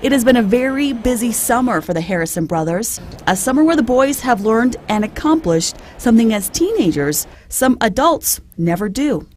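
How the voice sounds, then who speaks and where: A woman's voice: fairly high pitch, big pitch swings, moderate pace, darkish tone, a little hiss or hum. A woman narrating a scripted local television news feature as a reporter.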